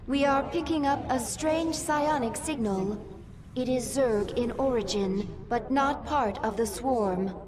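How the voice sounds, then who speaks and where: A woman's voice: fairly high pitch, big-room sound, far from the mic, background noise, lightly acted. A woman voicing a cold alien swarm advisor. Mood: detached. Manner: slow, formal, detached.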